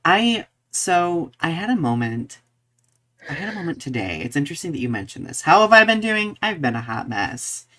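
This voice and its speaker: A man's voice: fairly high pitch, sing-song, normal speed, sharp tone. A man co-hosting a podcast in casual conversation, speaking expressively and emphatically with a filler-laden, searching delivery. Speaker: podcast co-host.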